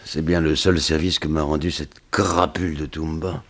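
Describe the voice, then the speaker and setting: A man's voice: rumbling low, steady tone, normal speed. A man playing a worn-out, mournful former captive in a hospital bed, hesitant and weary, in a 1960s French radio mystery. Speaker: actor in a radio serial.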